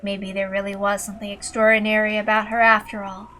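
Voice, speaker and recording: A woman's voice: mid-range voice, level tone, fast, bright, forceful tone, slight background noise. A woman reading scripted visual novel narration in a dramatic storytelling style. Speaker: narrator, reader. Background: music.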